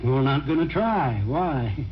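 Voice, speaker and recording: A man's voice: medium pitch, expressive, slow pace. An actor playing a plain-spoken, determined small-town man with a folksy, persistent manner in an old-time radio drama. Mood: casual, nostalgic. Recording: muffled, slightly noisy vintage radio recording.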